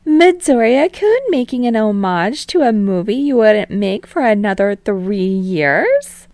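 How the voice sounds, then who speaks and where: A smug, teasing talk show hostess with a raised, brassy voice, as a woman reading a story puts her on. Her delivery is loud and bright, with a gravelly edge under it. The recording is close and dry. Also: mid-range voice, sing-song, unhurried, no room sound, voice pushed up. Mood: amused. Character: bubbly, teasing female talk show host.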